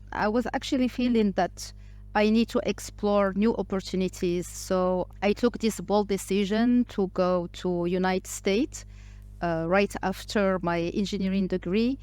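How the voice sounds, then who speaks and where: A woman's voice: medium pitch, darkish tone, no room sound. Hesitant woman from Morocco, interview guest. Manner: speaks English with frequent fillers, restarts and hesitations. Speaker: podcast guest, entrepreneur. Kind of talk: casual interview talk.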